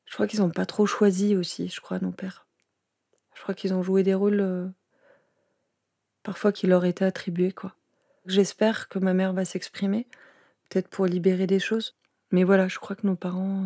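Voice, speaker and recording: Woman, husky and gravelly, soft and hesitant, flat delivery. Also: mid-range voice, normal speed, no echo, clean studio sound. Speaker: interviewee. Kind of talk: personal testimony.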